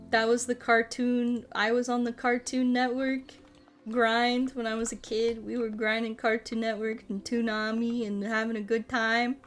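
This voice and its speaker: A woman in her thirties, a streamer chatting casually while she plays a puzzle game, playful and quick to poke fun at herself. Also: a little high, a bit bright. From United States. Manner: playful, self-mocking, laughs often, tries out broken Spanish and French.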